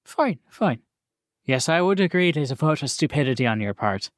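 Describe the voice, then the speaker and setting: A man playing a gruff, foul-mouthed mob boss with a blunt, commanding, bargaining manner in a tabletop game, over faint music. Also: on the high side, sing-song, a little fast, dry recording, clean studio sound. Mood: businesslike, stern.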